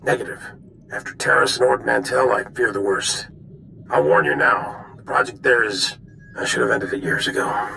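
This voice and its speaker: An actor playing a weary, grave older general who gives deliberate military briefings in a video game. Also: male voice, medium pitch, vocal fry, bright, forceful tone, slight background noise, poor audio. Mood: grave regret. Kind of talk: dramatic video game dialogue.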